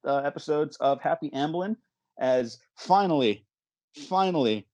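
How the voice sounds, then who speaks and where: A man hosting a podcast in casual chat, animated and playfully dramatic, often interrupting himself as he moderates a debate. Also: a little high, a little slow, no room sound. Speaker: podcast host.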